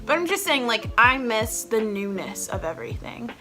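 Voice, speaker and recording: A young woman in her twenties talks casually to her viewers from home, rambling from thought to thought with a steady stream of "like". Her voice sits a touch high and rings bright and crisp, with soft music playing faintly behind her. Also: normal speed. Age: 20s. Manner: chatty, rambling, heavy use of "like" fillers. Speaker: vlogger host. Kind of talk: casual chat to camera.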